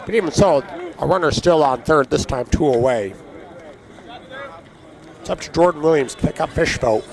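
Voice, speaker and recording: A man calling a baseball game play-by-play, his voice raspy and hoarse from allergies, chatting between pitches. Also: moderate pace. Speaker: play-by-play announcer.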